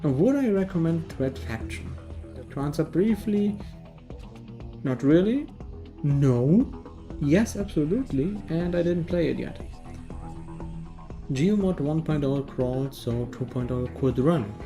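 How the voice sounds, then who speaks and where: Mellow, dry male game reviewer. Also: on the high side, expressive, slow, long pauses, faint music behind. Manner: dry, sardonic. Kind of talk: scripted video game review narration.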